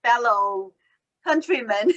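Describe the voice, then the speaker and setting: A woman's voice: a little high, animated, takes it slowly, fairly bright tone, highs cut off. A woman hosting an interview, speaking English as a with grammar and asking friendly questions. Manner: non-native grammar, friendly prompting questions. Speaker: podcast host. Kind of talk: casual interview chat.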